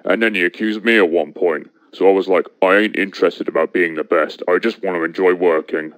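A man voicing a surly, combative cartoon steam engine, gruff, blunt and insulting. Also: low voice, fairly even intonation, brisk, a bit bright, no room sound. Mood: grumbling. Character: surly, foul-mouthed male steam engine, younger, combative. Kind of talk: fan animated game cutscene acting.

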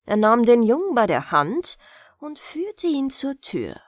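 A woman narrates fairy tales for bedtime at an unhurried pace. Her mid-pitched reading is expressive and moves widely up and down. The recording is dry and close. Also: dry recording. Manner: expressive, measured storytelling. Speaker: audiobook narrator.

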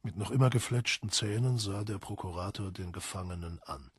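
A man with a husky, raspy voice narrating a literary audiobook from a script. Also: low voice, fairly quick, dry recording, studio quality.

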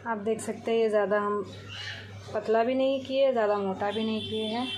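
A woman hosting a cooking channel, calmly explaining a recipe step by step from her home kitchen. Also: on the high side, brisk, a little hiss or hum, poor audio.

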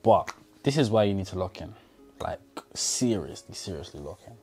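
A man's voice: sits low, animated, normal speed, darkish tone, breathy edge, faint music behind. A man in his twenties giving an earnest motivational talk to camera in his bedroom, speaking straight to the viewer on a lapel mic. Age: 20s. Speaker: motivational vlogger. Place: bedroom indoors. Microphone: clip-on lapel mic.

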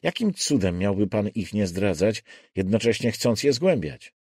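Man acting a nervous, suspicious householder, animated pitch. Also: normal speed, slightly rough, dry recording, studio quality. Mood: testy. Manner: wary, testy. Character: nervous, suspicious man guarding his door.

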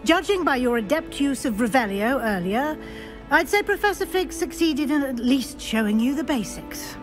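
A brisk, stern professor. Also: female voice, high-pitched, animated, rough recording. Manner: crisp, brisk and no-nonsense. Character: brisk older female professor, stern and proper. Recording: game cutscene with music behind.

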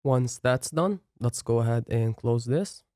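Man calmly walking through software setup. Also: medium pitch, unhurried, no room sound, clean studio sound. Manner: plain step-by-step explaining. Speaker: software tutorial instructor. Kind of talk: screen-recorded coding tutorial narration.

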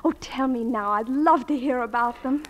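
Breezy affectionate college girl played by an actress, high voice, old timey radio drama. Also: moderate pace, close to an everyday voice. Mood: curious, teasing. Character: breezy, affectionate eighteen-year-old university student daughter. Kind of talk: 1940s-style radio play acting.

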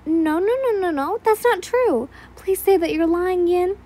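A woman's voice: very high voice, unhurried, dark tone, pitched above the performer's own voice. A woman voicing a desperate, obsessive young man who cries and pleads frantically. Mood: desperate pleading.

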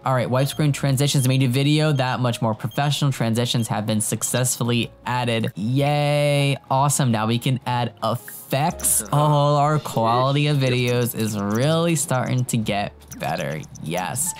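A young man talks through his game in a casual, excitable running commentary, speaking straight to his viewers. A cold leaves his voice sounding a little off and his throat sore. His pitch is middling for a man, and faint music plays underneath. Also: measured pace. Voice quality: voice sounds a bit off from illness. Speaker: gaming YouTuber. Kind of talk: casual let's-play commentary. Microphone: headset mic.

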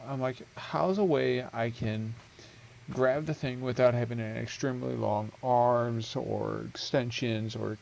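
A young man talks through his game creation in a loose, rambling way, trailing off and restarting with frequent ums. His mid-pitched voice has a gravelly fry to it. He speaks into a headset at home, with a faint hiss underneath. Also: darkish tone. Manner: rambling, self-correcting, chatty with many ums. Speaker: gaming video creator. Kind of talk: casual game build walkthrough.

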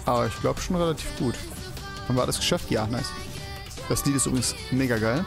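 A man's voice: mid-range voice, fast, gravelly. Chatty young man over game music. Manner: rambling, chatty, swears and exclaims at the game. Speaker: let's play host. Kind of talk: casual gameplay commentary. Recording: game music playing behind the voice.

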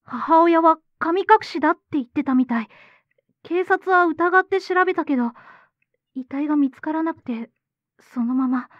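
A woman's voice: sits high, normal speed, no room sound, highs cut off, lightly acted. A woman playing a determined young actress who talks earnestly and informally in a Japanese audio drama. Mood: confiding, serious. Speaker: voice actor.

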